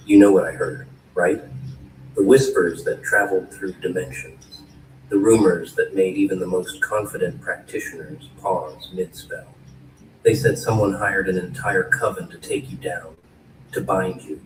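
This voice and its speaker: A man delivering a dramatic scripted dark fantasy narration, theatrically addressing the listener directly. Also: low-pitched. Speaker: spoken-word narrator. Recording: roomy, distant, faint noise.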